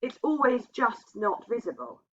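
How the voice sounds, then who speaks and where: A woman's voice: a little high, big pitch swings, unhurried, breathy edge, voice pushed up, heavily acted. Woman reading as an uneasy, haunted man. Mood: haunted, anxious. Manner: hesitant, earnest, anxious. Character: troubled, uneasy man confiding a haunting dread. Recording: dull, muffled lo-fi sound.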